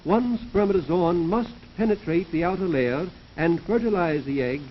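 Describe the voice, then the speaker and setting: A man giving formal, measured, scripted narration in the manner of a 1930s educational science film, lecturing as the narrator. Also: high-pitched, expressive. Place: recorded voice-over for a film. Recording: dull, noisy 1930s film soundtrack.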